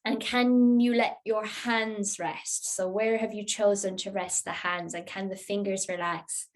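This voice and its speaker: A woman leads a guided relaxation as a yoga teacher. Her voice sits at a medium pitch for a woman, and she speaks gently and without hurry, giving soothing, simple instructions. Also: fairly even intonation, measured pace, rough recording. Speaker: yoga nidra teacher guiding a class.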